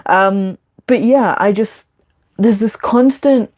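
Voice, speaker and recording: A woman's voice: mid-range voice, slow pace, darkish tone. A young woman live streaming, talking candidly and rambling with many fillers and restarts.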